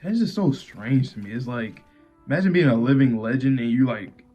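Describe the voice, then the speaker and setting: A man's voice: mid-range voice, animated, moderate pace, dark tone, faint music behind. A man giving slangy, laid-back commentary in a casual reaction chat. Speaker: anime reaction host.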